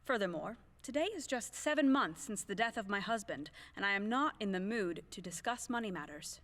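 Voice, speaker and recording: A woman's voice: medium pitch, expressive, fairly quick, a bit bright, natural voice. An actress playing a proud, formal widowed lady, firm and indignant, in an audio play comedy.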